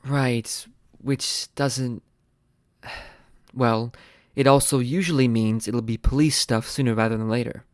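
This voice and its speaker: An actor playing a wary government science adviser, hesitant, understated and skeptical in naturalistic meeting dialogue. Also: male voice, medium pitch, fairly even intonation, a little slow, dry recording, studio quality, close to an everyday voice.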